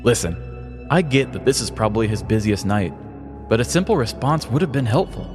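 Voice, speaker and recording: A man voicing a gruff fifty-something stoner factory worker with a laid-back drawl. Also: medium pitch, lively intonation, a little fast, over music, lightly acted. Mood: annoyed.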